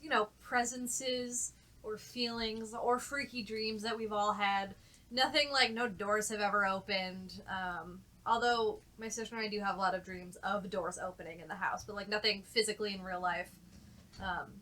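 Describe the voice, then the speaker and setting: A woman's voice: mid-range voice, pushed and bright. A woman co-hosting a podcast in casual, conversational chat. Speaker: podcast co-host.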